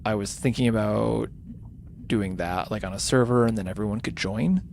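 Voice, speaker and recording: A tired man whose voice is starting to go hoarse, rambling casually and thinking aloud as he hosts a gaming livestream. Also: fairly low pitch, normal speed, a little mellow, a little hiss or hum. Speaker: livestreamer hosting a charity gaming stream. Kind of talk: casual unscripted game-stream chat.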